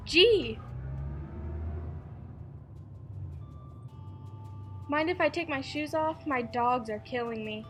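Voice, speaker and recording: A woman's voice: high-pitched, expressive, normal speed, fairly bright tone, faint music behind. A young woman acting a brash, wisecracking girl hitchhiker in a 1940s-style radio play, with slangy, sassy delivery. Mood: chirpy, grateful. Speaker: student actor.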